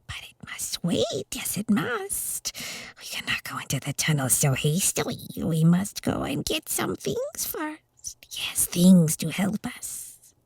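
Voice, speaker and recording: A woman's voice: medium pitch, huge pitch swings, slow, vocal fry, a little mellow, slightly rough, no echo, full character voice, poor audio. Woman putting on a hissing cave creature. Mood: sly whispering. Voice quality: hissing, whispery. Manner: wheedling, talks to his precious. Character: wretched slimy male cave creature, sly and greedy. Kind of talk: ASMR storybook reading.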